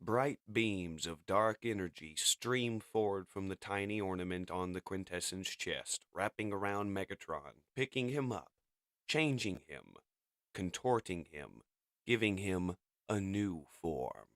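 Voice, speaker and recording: A man's voice: low voice, animated, measured pace, fairly bright tone, no echo, studio quality. A man narrating and commenting on a comic as its reader and reviewer.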